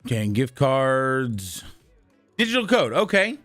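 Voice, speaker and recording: Hoarse, husky male streamer. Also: medium pitch, big pitch swings, slow, soft background music. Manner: rambling, loud shouted greetings to viewers. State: hoarse, worn-out voice. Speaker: live streamer. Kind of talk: casual live-stream chat with viewers.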